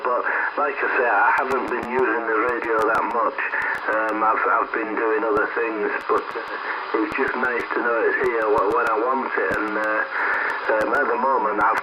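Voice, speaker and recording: A British man chatting casually as an amateur radio operator over a two-way radio, often repeating phrases for emphasis. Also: medium pitch. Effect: two-way radio transmission. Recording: very noisy shortwave radio reception.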